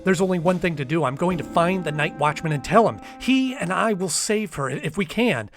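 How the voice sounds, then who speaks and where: A man's voice: high-pitched, expressive, brisk, faint music behind. A man playing an anxious, sensitive young man in a radio drama, speaking in an earnest, faltering, dramatic way. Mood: urgent, grim. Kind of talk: old-time radio drama acting with diary narration.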